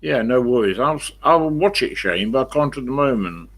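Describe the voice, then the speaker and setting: An elderly English man with a croaky smoker's voice, rambling casually to viewers on a live stream and answering his own questions. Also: mid-range voice, animated, moderate pace, fairly bright tone. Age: 70s or older. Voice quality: croaky, husky smoker's voice with a cough.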